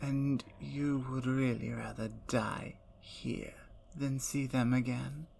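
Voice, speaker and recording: A woman voicing a predatory, seductive female vampire in an ASMR roleplay, speaking in slow, low, teasing whispers. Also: fairly even intonation, creaky, a little mellow, slight background noise, heavily acted.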